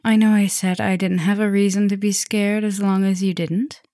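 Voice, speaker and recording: A woman playing an affectionate, softly dominant vampire professor in an intimate ASMR roleplay, speaking softly and teasingly, often dropping into a whisper. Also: medium pitch, little pitch movement, normal speed, no echo, clean studio sound, lightly acted. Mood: gentle, nervous.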